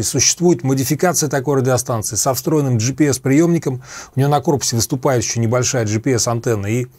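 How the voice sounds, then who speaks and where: A man's voice: mid-range voice, a little mellow, dry recording. Methodical middle-aged man explaining gear, dry sound. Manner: methodical, repeats and clarifies terms. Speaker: host reviewing radio equipment. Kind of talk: explanatory product demonstration. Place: small room at a computer desk, indoors.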